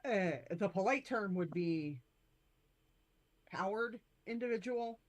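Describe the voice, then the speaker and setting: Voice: medium pitch, slow, close to an everyday voice. A player voicing a breezy, playful female monster hunter in a tabletop game. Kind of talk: tabletop roleplay in character.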